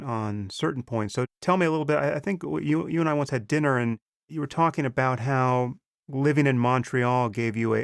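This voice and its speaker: A man hosting a podcast interview, speaking in a measured, thoughtful way with some stammered restarts. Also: medium pitch, vocal fry, dry recording, studio quality. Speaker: podcast host. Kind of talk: conversational interview.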